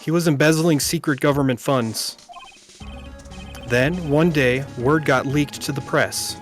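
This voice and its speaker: A man's voice: on the high side, a little slow, natural voice. A man voicing an earnest, dogged young defense lawyer in an amateur video game dub. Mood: accusing, forceful. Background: game music.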